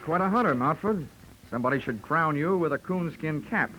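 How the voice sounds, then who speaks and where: An actor playing a wry, hard-boiled insurance investigator with an American accent and deadpan wit, in 1940s radio detective drama style. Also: male voice, a little high, fairly quick, a little hiss or hum, low-quality recording. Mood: sarcastic. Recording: old 1940s radio broadcast recording.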